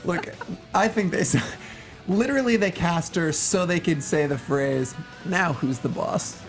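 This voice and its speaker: A man chats casually as a panel co-host. His delivery is animated and slangy, with a sarcastic joking streak. Faint music plays underneath. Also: sits high, unhurried. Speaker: co-host of a film review show.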